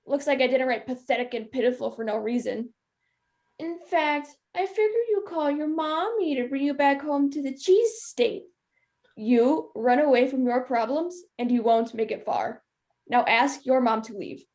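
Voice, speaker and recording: A woman's voice: sits high, moderate pace, a little mellow. A young woman acting a snide, spoiled, insolent college student in a stage play, with sarcastic delivery. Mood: snide, dismissive. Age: young adult.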